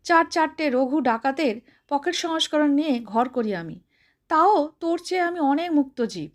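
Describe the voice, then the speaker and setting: মহিলার গলায় মুখরা, দাপুটে, উঁচু গলার গিন্নি. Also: female voice, sits high, expressive, moderate pace. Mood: bossy, mocking. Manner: brash, scolding, colloquial. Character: bossy, stout, sharp-tongued older woman, scolding affectionately.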